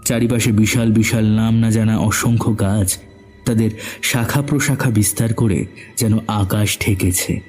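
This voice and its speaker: A man's voice: lowish voice, fairly even intonation, measured pace, a little mellow, soft background music. A man narrating a scripted suspense story as the narrator of an audio drama.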